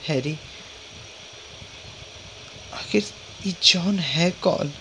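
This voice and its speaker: A woman's voice: lowish voice, very slow, a bit bright, a little hiss or hum, full character voice. A woman acting a frightened young mother in a dubbed horror drama, talking anxiously. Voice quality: fearful, anxious. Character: frightened young mother fearing for her daughter. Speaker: worried mother. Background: music.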